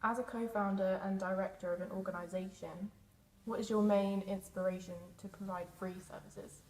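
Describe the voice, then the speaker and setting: A woman's voice: medium pitch, fairly even intonation, moderate pace, a little mellow, rough recording. Woman asking interview questions. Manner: brief, plain questions.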